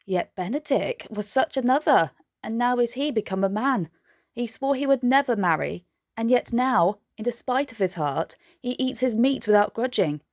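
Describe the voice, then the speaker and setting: An actress playing a saucy young maid with a quick, teasing wit in a Shakespeare radio play. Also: female voice, a little high, expressive, a little mellow, dry recording, clean studio sound. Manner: playful, bantering.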